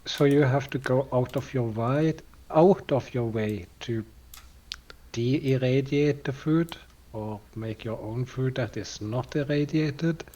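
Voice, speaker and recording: A man co-streaming a game, explaining at length in a calm, measured way. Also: medium pitch. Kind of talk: casual chat while gaming.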